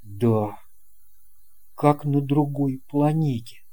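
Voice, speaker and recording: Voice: medium pitch, extremely slow, warm tone. A narrator voicing a curious, earnest young boy, a little cautious, in an audio fairy tale. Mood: doubtful.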